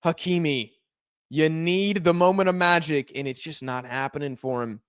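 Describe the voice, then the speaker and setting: Hoarse, excitable man calling a live match. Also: fairly high pitch, normal speed, dry recording, clean studio sound. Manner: excitable, shouts at big chances. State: hoarse, losing voice. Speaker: watch-along host. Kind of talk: live match watch-along commentary.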